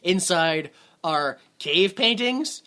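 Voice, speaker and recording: A man's voice: high-pitched, lively intonation, takes it slowly, sharp tone. A man casually recapping a movie as a podcast co-host, sarcastic and laughing often.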